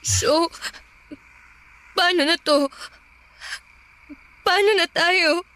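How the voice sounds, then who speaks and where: A woman's voice: very high voice, very slow, bright, forceful tone, exaggerated voice. An actress playing a feisty, wounded young Filipino woman in love with her friend, impassioned in a radio drama. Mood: uncertain, tender. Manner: impassioned, confrontational. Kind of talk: emotional radio drama acting.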